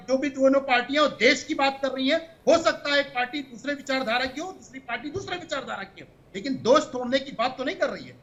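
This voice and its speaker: A man's voice: very high voice, pushed and bright, highs cut off. A man in his fifties arguing combatively as a party spokesman in a heated TV panel debate. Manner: combative, talks over interruptions.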